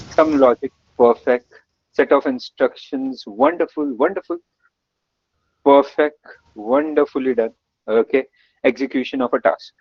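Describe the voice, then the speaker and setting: A man's voice: mid-range voice, vocal fry, husky, dry recording. A man from Gujarat with an Indian English accent, a webinar instructor who coaxes answers and heaps on praise. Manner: energetic, coaxing, lots of praise and repetition. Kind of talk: live online coding lesson. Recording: dull, muffled dry webinar audio.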